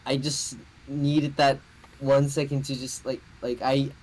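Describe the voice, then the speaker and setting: A man's voice: medium pitch, flat delivery, measured pace, a little mellow, rough recording. A young man co-hosting a casual podcast, telling a story in a hesitant, filler-heavy way with many restarts. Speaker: podcast co-host. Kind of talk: casual chat.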